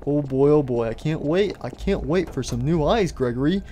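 A man acts out a friendly animatronic robot companion in a homemade story. The voice is slightly high and full of eager exclamations, fond and fatherly but quick to scare. Faint noise sits behind it. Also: animated, dark tone, lightly acted. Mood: excited.

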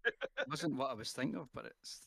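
A man's voice: medium pitch, sing-song, fairly quick, gravelly, pushed and bright, no echo. A man chatting casually as a player in a tabletop game. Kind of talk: casual chat.

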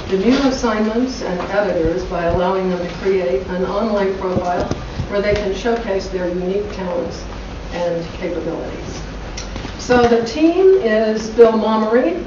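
A middle-aged woman introducing guests at a forum with chatty asides, heard from across a conference room. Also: medium pitch. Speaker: school director and forum host. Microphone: far room camera mic. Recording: echoey room from far off, dull lo-fi, noisy.

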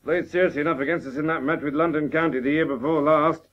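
An actor playing a brisk, sceptical older brother who grumbles, in a radio drama. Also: male voice, a little high, fairly even intonation, close to an everyday voice. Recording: slightly dull radio tape.

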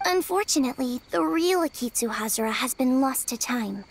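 High, earnest little yokai. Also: female voice, animated, normal speed, gravelly, full character voice. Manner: earnest, explanatory. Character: small, earnest, polite young yokai. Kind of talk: video game voice acting.

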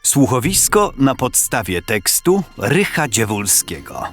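A man narrating an audio drama as a storyteller, with measured, expressive literary delivery. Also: medium pitch, slightly rough. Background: background music.

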